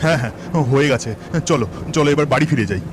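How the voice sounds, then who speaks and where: A man's voice: mid-range voice, racing, noisy background, natural voice. A man voicing a poor, anxious village fisherman and devoted father in a melodramatic horror cartoon. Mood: earnest. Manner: fretful, melodramatic. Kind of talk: Bengali horror cartoon voice acting. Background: music.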